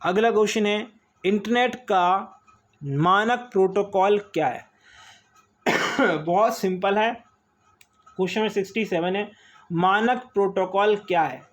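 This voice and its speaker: A man's voice: sits high, unhurried, pauses often, a bit bright. A man teaching an online exam-prep quiz lesson, asking each question and repeating the answer, with frequent "okay".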